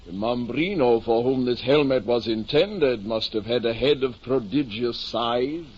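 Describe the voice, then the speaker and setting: A man's voice: medium pitch, unhurried, close to an everyday voice. A muffled, slightly noisy 1950s radio drama recording of a man playing an ageing, deluded knight-errant. He declaims in lofty archaic phrases, pompous and solemn, as if every word were a proclamation of chivalry. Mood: musing, lofty. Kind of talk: 1950 old time radio drama acting.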